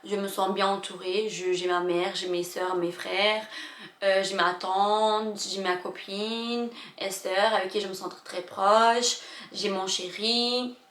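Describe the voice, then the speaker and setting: A woman's voice: medium pitch, little pitch movement, fairly bright tone. Emotional young woman in an echoey room. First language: not French. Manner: emotional, halting, many fillers and restarts. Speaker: vlogger. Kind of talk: confessional personal storytelling.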